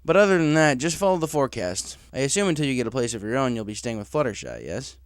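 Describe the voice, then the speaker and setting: A man's voice: medium pitch, sing-song, fast talker, no echo, lightly acted. Man playing an easygoing pegasus boss, dry sound. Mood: instructive. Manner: casual, frank, matter-of-fact. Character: easygoing, businesslike adult male pegasus boss.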